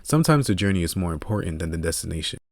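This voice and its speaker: A man's voice: deep voice, sing-song, rapid-fire, dry recording, studio quality, pitched below the performer's own voice, full character voice. A man voicing a friendly, reassuring male friend in an audiobook, encouraging and sincere. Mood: nostalgic, fond. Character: friendly, reassuring male childhood friend, easygoing helper with tools.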